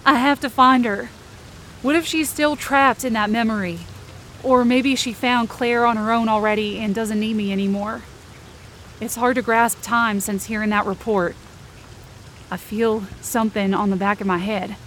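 A woman's voice: fairly high pitch, fairly even intonation, moderate pace, a bit bright, slight background noise. Woman narrating a tense first-person story. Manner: tense, searching inner monologue. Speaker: narrator and lead performer.

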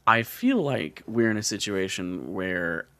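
A man's voice: lowish voice, animated, measured pace, bright, forceful tone, dry recording. A man hosting a podcast, talking casually and comedically with a wry, profane streak. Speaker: podcast host.

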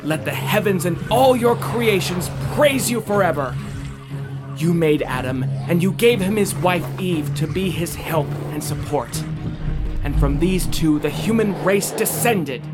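Guy playing a devout brave young groom, highish voice, earnest, radio drama with music under it. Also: lively intonation, unhurried. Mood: fervent, defiant. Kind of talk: audio drama acting.

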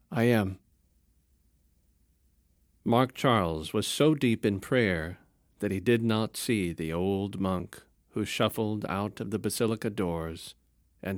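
A man narrating a story in a calm, measured storytelling manner. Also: fairly low pitch, no echo, clean studio sound.

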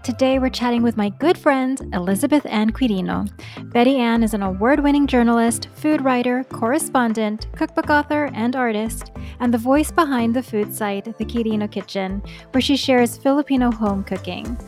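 A woman's voice: on the high side, moderate pace, a little mellow, soft background music. A woman hosting a food podcast, reading an introduction and then chatting eagerly with her guest. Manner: upbeat and eager. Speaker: podcast host. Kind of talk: scripted introduction and casual interview.

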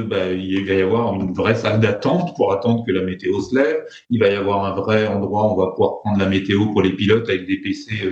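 A man's voice: lowish voice, darkish tone, dull audio. Hesitant French-accented man, roomy sound. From France. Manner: hesitant, many fillers and restarts. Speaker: private pilot guest. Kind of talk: conversational storytelling.

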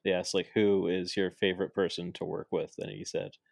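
A man's voice: sits low, flat delivery, fairly quick, creaky, no echo, clean studio sound. A man hosting a podcast in a casual, rambling way with many fillers and restarts. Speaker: podcast host. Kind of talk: casual chat.

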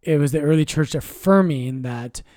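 Priest chatting on a podcast, laid back, cracks jokes, dry close sound. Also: male voice, fairly high pitch, moderate pace, no echo. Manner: relaxed, explains doctrine with jokes and self-corrections. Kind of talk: casual podcast chat.

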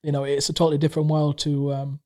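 A man's voice: fairly high pitch, completely flat delivery, quick pace, mellow. A man in his thirties who grew up in Tanzania and speaks Swahili natively, chatting casually as a guest, rambling with many fillers and restarts. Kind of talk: casual conversation.

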